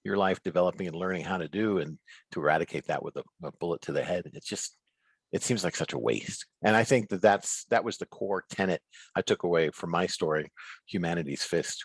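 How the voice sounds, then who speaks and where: A man speaking as a guest author in a reflective interview, earnest and deliberate, with pauses between phrases. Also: low-pitched, breathy edge, no echo. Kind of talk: reflective interview conversation over video call. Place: remote video call.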